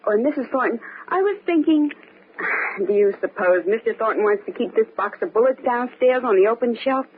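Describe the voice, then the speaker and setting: An actress playing a deferential, chatty housemaid, eager to please, in a 1940s radio mystery. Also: female voice, a little high, animated, brisk, slight background noise, close to an everyday voice. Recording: husky-sounding, phone-like old radio broadcast.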